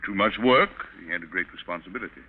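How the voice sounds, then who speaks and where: A man acts a measured, formal physician in 1940s radio drama. His voice is very low and composed. The recording is narrow with faint noise. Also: natural voice. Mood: composed, explanatory. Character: composed male doctor giving testimony.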